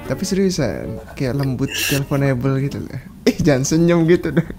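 A man's voice: medium pitch, moderate pace, over music. A young man in his twenties from Yogyakarta, chatting playfully and teasing strangers on a video call from his bedroom, laughing often. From Yogyakarta, Indonesia. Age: 20s. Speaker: video chat host. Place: small bedroom with posters. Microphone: webcam video call.

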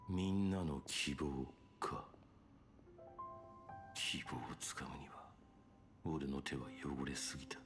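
An actor playing a brooding young male fighter who talks tersely and gravely in a game cutscene. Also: slow, long pauses, over music, heavily acted. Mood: subdued. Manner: terse, grave, low-voiced.

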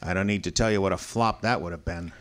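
An actor playing a brusque, slangy, hard-boiled suspect in an old-time radio style crime drama, cocky and defensive. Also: male voice, low-pitched, quick pace, a bit bright. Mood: scornful, accusing.

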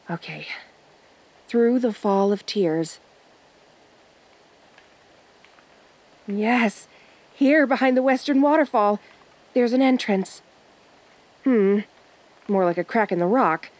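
A woman's voice: mid-range voice, darkish tone, natural voice, poor audio. An actress playing a determined young woman treasure hunter in an audio drama, talking to herself in a dramatic way. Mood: defiant, bitter, then awed, curious. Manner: breathy exclamations, self-coaxing, dramatic. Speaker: audio roleplay voice actor.

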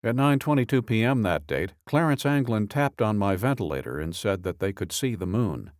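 A man with a fairly low voice narrates a true-crime audiobook. His delivery is measured and matter-of-fact, each phrase set down deliberately. The sound is dry and close to the microphone. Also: expressive, no echo. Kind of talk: scripted narration, reading a true-crime statement.

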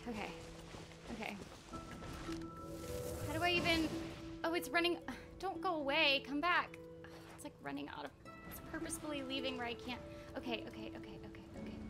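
A young woman streams a game in a high, very animated voice. She is excitable and giggly, repeating little phrases as she chats. Game music plays clearly behind her. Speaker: streamer and voice actress. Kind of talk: casual live gaming stream chat.

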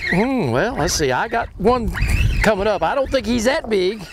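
Host type: middle-aged man, high and bouncy, excited chatter, noisy outdoor boat sound with engine and wind. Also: normal speed. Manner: excitable, exclaiming, chatty with restarts. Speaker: fishing show host. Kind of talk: casual chat while fishing. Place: open boat offshore, outdoors.

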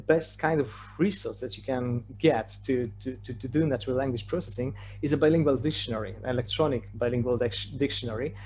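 A man's voice: mid-range voice, darkish tone. Hesitant Polish-accented man, noisy line. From Poland. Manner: hesitant, repeats words and stammers over phrase starts. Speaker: conference presenter. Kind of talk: slide presentation lecture. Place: remote online presentation with shared screen. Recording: clearly noisy online talk with hum.

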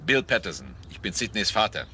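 An older man playing a gruff, protective father in a radio drama, curt and indignant. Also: fairly low pitch, sing-song, a little fast, bright, forceful tone, slight background noise, heavily acted. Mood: wary.

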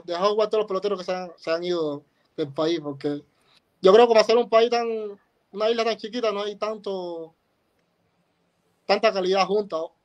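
A young man with a Cuban accent speaks over a video call. His voice sits high and his talk runs quick, with short, plain answers. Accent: Cuban Spanish. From Cuba. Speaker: guest baseball pitcher. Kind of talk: casual interview answers.

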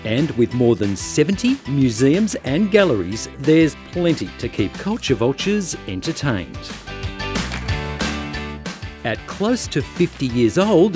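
A man, the host, giving upbeat, playful scripted narration for a travel show. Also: mid-range voice. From Australia.

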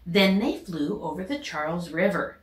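A middle-aged American woman, a teacher, reading a children's storybook aloud with expressive narration. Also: lowish voice, normal speed. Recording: echoey home room recording.

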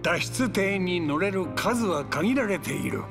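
An actor playing a stern, dutiful older military commander in a game, grave and authoritative. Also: male voice, sits high, big pitch swings, measured pace, creaky, heavily acted. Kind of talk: video game drama acting. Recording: music behind the voice.

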